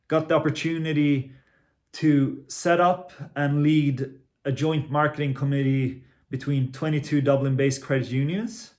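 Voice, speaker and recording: A man in his twenties, originally from Finland, giving a prepared self-introduction in an earnest, measured way, with a few small restarts. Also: mid-range voice, a little mellow. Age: 20s. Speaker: credit union marketing executive.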